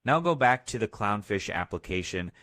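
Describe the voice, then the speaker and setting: A man narrating a scripted step-by-step software tutorial as its host. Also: on the low side, very animated, moderate pace, dry recording, studio quality.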